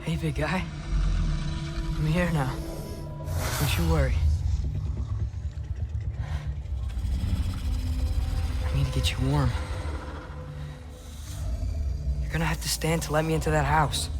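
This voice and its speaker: A man's voice: fairly high pitch, fairly even intonation, brisk, music in the background, close to an everyday voice. An actor playing a defiant, earnest and hurt teenage boy in a video game drama. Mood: worried, tender.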